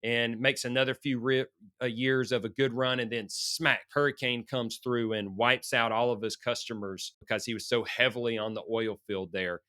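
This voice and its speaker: A middle-aged man, hoarse from a sinus infection, hosting a motivational business podcast in an earnest coaching manner. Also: medium pitch, bright, forceful tone, dry recording, clean studio sound. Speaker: podcast host.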